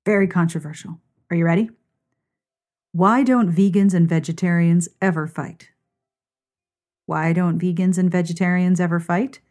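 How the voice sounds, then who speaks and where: A woman's voice: on the low side, fairly even intonation, moderate pace, pauses often, darkish tone. A woman hosting a podcast in an informal, playful, conversational way. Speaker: podcast host. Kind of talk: chatty podcast hosting and sign-off.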